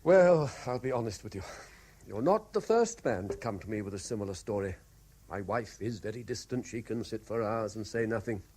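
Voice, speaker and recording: An actor with a British accent playing a sceptical, worldly middle-aged lawyer in a 1970s radio drama, urbane and probing, sometimes stumbling into restarts. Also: male voice, on the low side, lively intonation, moderate pace, a little mellow, a little hiss or hum, close to an everyday voice. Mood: amused, sceptical.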